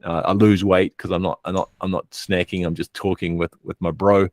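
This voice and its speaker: A man's voice: deep voice, flat delivery, fairly quick, dry recording. A man co-hosting a casual live stream, talking in a hesitant, rambling, thoughtful way. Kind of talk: casual chat.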